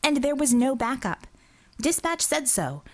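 A woman's voice: high-pitched, very animated, unhurried, pitched above the performer's own voice, heavily acted. A woman voicing a defiant, sarcastic young female healer in an audiobook, arguing in a flippant, clipped way. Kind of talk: audiobook character dialogue.

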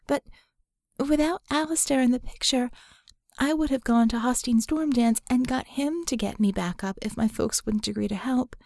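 A woman's voice: a little high, vocal fry, a little mellow, dry recording, clean studio sound. A woman voicing a guarded, sardonic young female mage in an audiobook, telling her story with wry candor. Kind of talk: audiobook character dialogue.

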